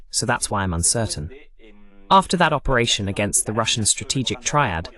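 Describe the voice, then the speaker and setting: A man's voice: mid-range voice, a bit bright. A man hosting a political commentary broadcast, talking in a sardonic, digressive way. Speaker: host of political analysis broadcast. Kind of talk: political commentary, English voice-over of a live talk.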